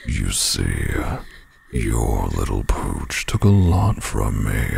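An actor playing a menacing, sadistic male werewolf pack leader in an audio roleplay, with a breathy growl and a taunting, mock-polite manner. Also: a little hiss or hum, exaggerated voice. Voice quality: very deep gravelly growl, often breathy. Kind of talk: dramatic audio roleplay acting.

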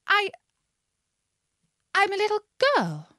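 A woman plays an earnest little girl from a storybook, high in pitch and unhurried. She sounds plaintive and bewildered, polite yet easily indignant, with lilting intonation. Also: very animated, no echo, clean studio sound, heavily acted. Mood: doubtful, flustered. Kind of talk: storybook character reading.

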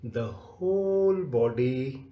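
Very slow-paced man guiding relaxation. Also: mid-range voice, lively intonation, dull audio. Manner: measured, instructing in short phrases with long pauses. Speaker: yoga nidra instructor. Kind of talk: guided relaxation meditation. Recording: echoey, roomy sound.

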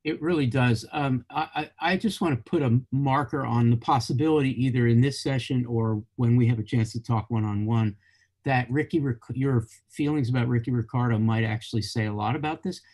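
A man's voice: mid-range voice, a little mellow. A middle-aged man hosting a reading over a video call, asking questions in an academic way with frequent restarts. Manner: halting, restarts, academic phrasing. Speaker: host and interviewer. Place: cluttered home office, indoors. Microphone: video call webcam.

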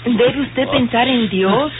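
A devout lady in an old radio serial. Her fairly high voice is formal, solemn and consoling. The recording is muffled, with a faint hiss. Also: takes it slowly. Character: pious, kindly, respectful married lady visitor.

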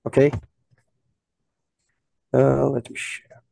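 A man's voice: mid-range voice, completely flat delivery, slow pace, vocal fry, mellow. A Brazilian-accented man in his thirties, a native Portuguese speaker, presenting on a webinar video call in simple, non-fluent English. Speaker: agronomist presenter.

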